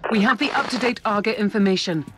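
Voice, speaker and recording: A woman playing a composed, caring operations officer in a video game, giving formal, measured briefings. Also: mid-range voice, noisy background, lightly acted. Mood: businesslike.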